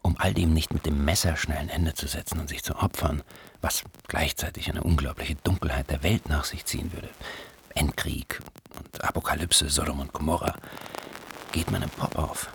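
A man's voice: a bass voice, fairly even intonation, normal speed, vocal fry, a bit bright, slight background noise, exaggerated voice. An actor playing a tormented manic man with apocalyptic delusions, intense and wounded, in a dramatic radio play monologue. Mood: feverish.

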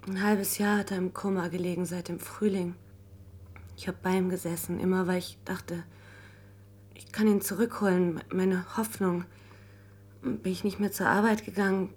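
A woman's voice: fairly low pitch, darkish tone. An actress playing a polite, grieving widow who has lost her home, hesitant and apologetic in a radio drama. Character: timid, polite, newly homeless grieving widow. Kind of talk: German radio drama acting.

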